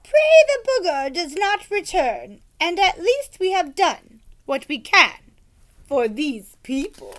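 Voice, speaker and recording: Woman acting a storybook old knight, high-pitched, earnest, grandiose, archaic, slow and dramatic. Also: sing-song, fairly bright tone, full character voice, poor audio. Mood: grave. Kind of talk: children's storybook read-aloud character voice.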